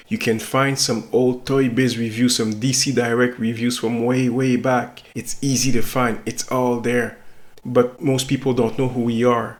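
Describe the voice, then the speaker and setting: French Canadian man talking in a flat voice. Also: medium pitch. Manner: reflective, self-conscious, rambling with restarts. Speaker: toy reviewer and site owner. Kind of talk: casual talk to camera.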